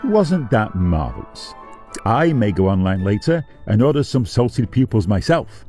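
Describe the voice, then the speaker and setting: A man's voice: on the low side, big pitch swings, a little slow, dark tone, soft background music. A man playing a gushing fairytale television presenter, delivering theatrical scripted comic links between programmes. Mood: gushing, teasing. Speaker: presenter linking the monologues.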